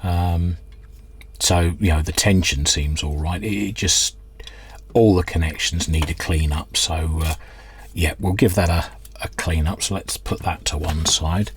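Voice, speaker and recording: A man's voice: sits low, steady tone, measured pace, slight background noise. A man giving casual hands-on workbench commentary, hesitantly thinking aloud as he works. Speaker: host of a radio restoration channel.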